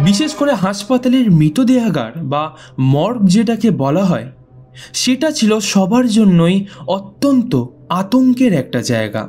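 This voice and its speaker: A man narrating a scripted ghost story in a suspenseful, deliberate way with dramatic pauses. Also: high-pitched, mellow. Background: background music.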